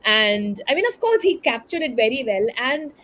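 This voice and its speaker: A woman with an Indian accent speaking reflectively in a panel discussion, in a halting way with repeated words. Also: on the high side, very animated, a bit bright, slight background noise.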